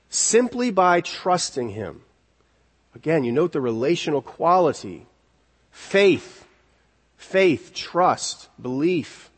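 A man preaching an expository sermon in an earnest, deliberate way, repeating key words for emphasis. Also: on the high side, a little mellow, slightly rough. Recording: long reverberant hall echo.

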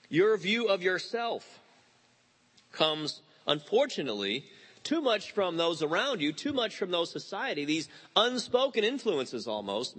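A man in his fifties preaching a sermon, animated and telling stories with quoted voices. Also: fairly high pitch. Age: 50s. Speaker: pastor.

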